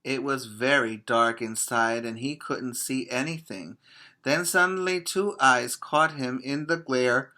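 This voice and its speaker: A male preschool teacher with a bright, forward voice and a mid-range pitch. He takes his time, speaking very slowly and deliberately. His delivery is expressive, in the manner of a picture-book read-aloud for young children. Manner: addresses young children directly, simple deliberate phrasing.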